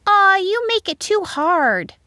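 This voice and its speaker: A woman's voice: a little high, big pitch swings, unhurried, dry recording, clean studio sound, pitched above the performer's own voice. A woman voicing eager, outspoken young schoolchildren from a storybook, with an exclaiming, childlike delivery. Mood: protesting.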